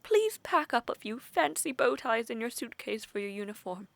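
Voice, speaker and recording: A woman's voice: fairly high pitch, expressive, gravelly, no echo. A young woman voice acting a playful, teasing young soldier-to-be in an intimate, conversational audio roleplay. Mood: teasing, mocking. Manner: teasing, chuckling, conversational with sighs and pauses.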